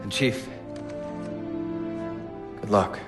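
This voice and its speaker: An actor playing an earnest, sympathetic starship officer in a sci-fi game, speaking with measured sincerity. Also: male voice, fairly bright tone. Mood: earnest, concerned. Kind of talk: cinematic sci-fi game acting. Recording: reverberant, clear music behind.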